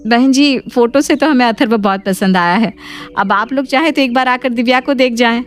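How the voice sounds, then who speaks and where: A woman's voice: on the high side, moderate pace, clean tone, faint music behind, voice pushed up, natural voice. Courteous cartoon mother voiced by a woman. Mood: polite. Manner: courteous, reasonable. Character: polite middle-aged mother arranging her daughter's match.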